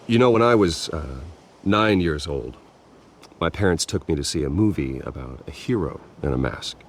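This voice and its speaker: An American man playing a brooding billionaire heir in a video game, speaking to the press in a measured, halting, earnest way. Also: extremely low pitch, expressive, a little hiss or hum, full character voice. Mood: solemn. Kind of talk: video game dramatic acting.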